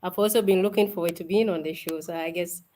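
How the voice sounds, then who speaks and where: A woman's voice: on the low side, steady tone, fast. Cheerful young woman from Ghana. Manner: cheerful, laughs often, soft-spoken. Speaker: guest, event organizer. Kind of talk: casual interview.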